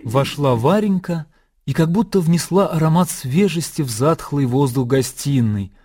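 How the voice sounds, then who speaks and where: Mellow male literary narrator. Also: on the high side, animated, normal speed. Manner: composed, storytelling. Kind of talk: scripted literary narration for a radio play.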